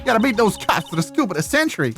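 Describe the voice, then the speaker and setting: Brash female news photographer, game character, acted by a woman: high, swooping pitch, dramatic and exclamatory. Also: sing-song, fast talker, soft background music. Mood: excited, eager. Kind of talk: video game character voicing.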